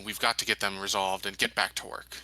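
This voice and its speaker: A man playing a measured, formal company man, diplomatic and evasive, in a tabletop roleplaying game. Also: fairly low pitch, little pitch movement, bright, forceful tone, no echo, low-quality recording. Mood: measured, assuring. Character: smooth, evasive male company man reassuring colonists.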